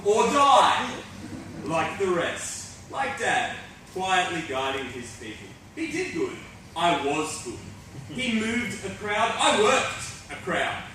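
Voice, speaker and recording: A man's voice: sits high, big pitch swings, takes it slowly, pushed and bright, a little hiss or hum, heavily acted. A man playing a fallen self-help guru in a theatrical monologue, intense, volatile, sardonic and profane, with a confessional bitterness. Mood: bitter, wry. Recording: echoey room, distant mic, music behind.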